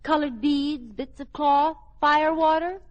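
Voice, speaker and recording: An actress playing a wry, nosy housewife, arch and teasing with mock-innocent questions, in old-time radio comedy style. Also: female voice, sits high, steady tone, measured pace. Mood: probing. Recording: noisy, muffled old radio recording.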